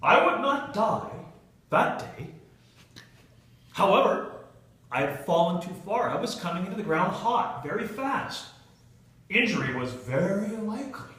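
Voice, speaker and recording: Man, animated humorous speaker, bright forceful voice, dramatic pauses, theatrical delivery, echoey room. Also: sits high. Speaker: Toastmasters club speaker telling a humorous tale. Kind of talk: humorous storytelling speech to a live audience. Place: indoor meeting room with an audience. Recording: echoey room, recorded from far off.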